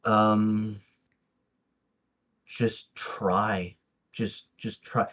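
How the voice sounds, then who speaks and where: A man's voice: fairly low pitch, flat delivery, extremely slow, a bit bright, highs cut off. A man whose first language is Tagalog, chatting casually as a podcast guest, with lots of fillers and restarts. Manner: hesitant, many fillers and restarts, laughs often. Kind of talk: casual interview chat.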